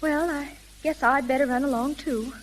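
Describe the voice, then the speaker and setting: A woman's voice: sits high, fairly even intonation, moderate pace, natural voice. An actress playing a poised, coy young woman in a 1930s radio drama. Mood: polite. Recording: hissy, crackling 1930s radio recording.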